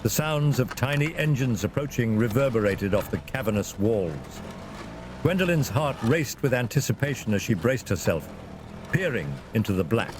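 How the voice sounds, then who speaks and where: A man's voice: mid-range voice, expressive, normal speed. A man narrating a scripted fantasy adventure in a dramatic storytelling style. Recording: clear vehicle and ambience noise behind.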